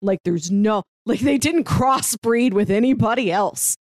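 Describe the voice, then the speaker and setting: A woman's voice: mid-range voice, expressive, a little slow, a little mellow, dry recording. A woman with a mid-range voice co-hosts a podcast in a very dry, close-sounding recording. She talks casually and conversationally, stressing her points as she explains them. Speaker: podcast co-host. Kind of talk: casual TV discussion chat.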